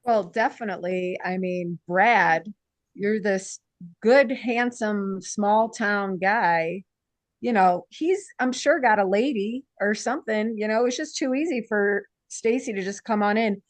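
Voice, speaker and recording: A woman's voice: mid-range voice, measured pace, no room sound. A woman actor sharing opinions on a script in a casual, conversational group discussion.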